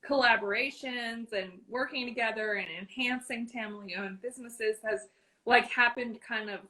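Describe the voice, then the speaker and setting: A woman's voice: on the high side, normal speed, sharp tone, rough recording. A woman hosting a casual interview, upbeat and encouraging, laughing easily. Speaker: interviewer host.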